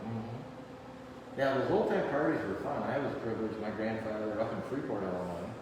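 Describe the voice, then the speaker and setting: A man's voice: lowish voice, moderate pace. A man hosting a casual interview, jokey and chatty with frequent laughs. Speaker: host. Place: large distillery hall indoors. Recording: echoey hall from far off with noise.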